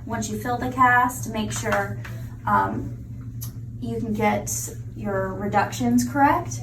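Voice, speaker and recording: A woman prosthetist walks through a clinical procedure step by step, practical and unhurried, as she works. Her voice sits a little high, and it comes from a distance in an echoey room. A steady background noise runs underneath. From United States. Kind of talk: hands-on clinical demonstration. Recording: echoey room, mic from far off.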